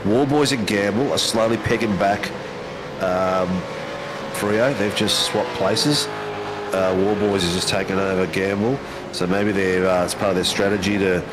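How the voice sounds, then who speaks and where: A man's voice: sits low, fairly even intonation, unhurried, noisy background. An Australian man commentating a sim race in a casual, matey way, with plenty of ums and uhs.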